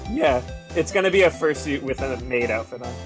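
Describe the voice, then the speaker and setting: A woman's voice: a bass voice, animated, a little fast, over music. A woman on a casual group game stream, reading dialogue aloud and commenting in a joking, sardonic way.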